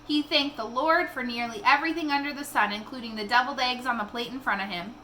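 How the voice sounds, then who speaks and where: A woman reading a children's novel aloud in an expressive storytelling style. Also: on the high side, fast talker, slight background noise.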